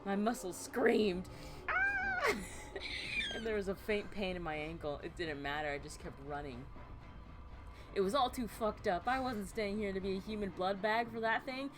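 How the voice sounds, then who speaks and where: A woman's voice: mid-range voice, big pitch swings, normal speed, long pauses. A woman co-hosting a let's play, switching between casual, sweary banter and theatrical reading of game text. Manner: swearing, playful, theatrical when reading aloud.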